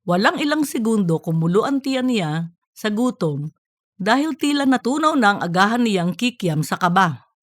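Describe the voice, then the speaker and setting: A woman's voice: on the high side, big pitch swings, moderate pace, dry recording, clean studio sound. A woman narrating a scripted comic audiobook story.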